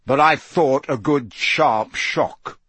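A man voicing a gruff, menacing old wizard teacher in an audiobook, with a gravelly growl and clipped, barking delivery. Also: medium pitch, takes it slowly, fairly bright tone, no room sound. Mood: unconcerned.

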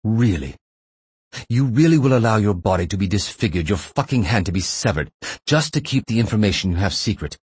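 A man's voice: deep voice, moderate pace, a little mellow, breathy edge, no echo. A man voicing a young Russian interrogator in an audiobook thriller, scornful, frustrated and menacing, taunting with rhetorical flair. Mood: incredulous contempt.

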